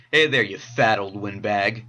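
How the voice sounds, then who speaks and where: Man playing a cocky, bright-voiced teen punk. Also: on the low side, slow. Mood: insolent. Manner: sarcastic, taunting. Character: cocky, mocking teenage boy punk. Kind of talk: amateur anime audio drama acting.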